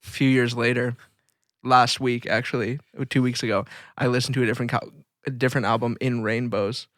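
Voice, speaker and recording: A man's voice: medium pitch, flat delivery, a little fast, fairly bright tone, no echo, studio quality. A man co-hosting a podcast in casual, slangy chat, enthusiastic about the things he likes. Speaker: podcast co-host. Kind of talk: casual chat between friends.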